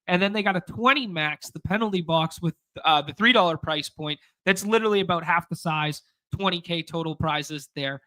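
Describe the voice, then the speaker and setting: A man's voice: fairly high pitch, bright, forceful tone, poor audio. A man hosting a casual sports drafting show, nasal and stuffy from a cold, with upbeat slangy hype talk. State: congested from a cold. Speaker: podcast host. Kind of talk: casual sports betting chat.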